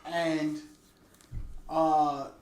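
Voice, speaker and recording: A man co-hosting a casual podcast, talking in a relaxed, joking way. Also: fairly high pitch, extremely slow. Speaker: podcast co-host. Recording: echoey room with faint noise.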